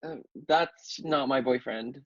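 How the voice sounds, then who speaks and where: A man acting a guarded, gentle, philosophical young guy in a table read, on a dull home mic. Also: medium pitch, takes it slowly, no room sound. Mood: defensive.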